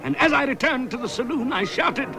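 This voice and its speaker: Very high, excitable bumbler. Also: male voice, a little fast, loud music behind the voice. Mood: boastful storytelling. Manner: theatrical, exclaiming, flustered. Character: excitable, bumbling, boastful male sports commentator and cowboy hero. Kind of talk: madcap 1970s radio comedy acting.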